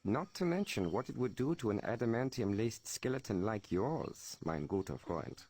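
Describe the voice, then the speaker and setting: An actor as an earnest German-accented man in a video game. His low voice moves expressively, with a wry edge. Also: expressive, moderate pace, a little mellow, slight background noise, lightly acted. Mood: concerned. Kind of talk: video game cutscene acting.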